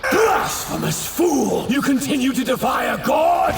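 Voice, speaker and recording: An actor playing an arrogant, self-righteous villain god in an anime dub, declaiming in a grandiose, archaic and theatrical manner. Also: male voice, medium pitch, expressive, unhurried. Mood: furious shouting. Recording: roomy, distant, faint effects noise.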